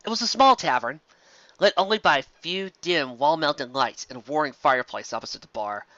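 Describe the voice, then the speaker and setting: A man reading fantasy fiction aloud as an amateur storyteller, expressive, occasionally stumbling over words. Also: on the high side, a little fast, dry recording, muffled recording.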